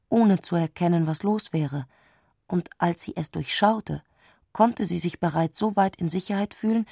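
A woman narrating a literary radio play, telling the story in measured, long sentences. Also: on the low side, creaky, warm tone, slightly rough, dry recording, studio quality, full character voice.

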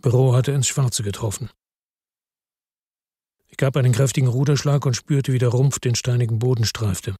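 An actor playing a weary hard-boiled man who narrates his own crime story in a radio drama, terse, brooding and husky. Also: medium pitch, fairly even intonation, moderate pace, long pauses, dark tone, no room sound, studio quality, lightly acted. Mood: grieving, subdued.

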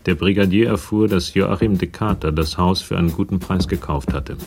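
A man's voice: sits low, a little fast, over music. A man narrating a radio crime drama in a sober, scripted way. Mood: measured, sober. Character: sober male narrator of a police crime story.

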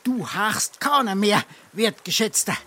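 A man's voice: sits high, animated, unhurried, sharp tone, breathy edge, heavily acted. An actor playing a brazen, boozy male freeloader in a dialect stage comedy, groaning and self-important, with a Darmstadt Hessian accent. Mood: smug, indignant.

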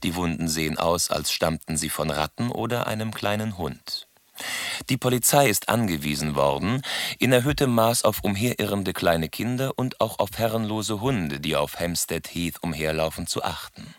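An actor playing a sober newspaper reader in a radio play, measured and formal. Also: male voice, low-pitched, animated, dry recording. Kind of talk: radio drama reading of a newspaper report. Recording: radio play with faint background ambience.

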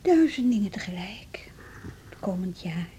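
An actress in an intimate radio drama playing a restless, doubting but determined wife, with a hushed, breathy near-whisper. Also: female voice, mid-range voice, very animated, unhurried, a little hiss or hum. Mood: pensive, restless.

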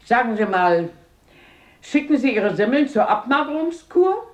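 An actress playing a blunt, fearless, warm-hearted old grandmother in a radio drama, brisk and outspoken, scolding yet affectionate. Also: fairly high pitch, sing-song, lo-fi sound. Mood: indignant. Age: older.

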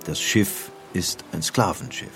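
A man's voice: deep voice, lively intonation, a little slow, over music. A man reading scripted narration for an audio history documentary.

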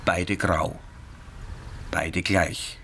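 A man narrating a radio play, with measured, dramatic literary storytelling. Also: sits low, fairly even intonation, a bit bright, slight background noise, heavily acted.

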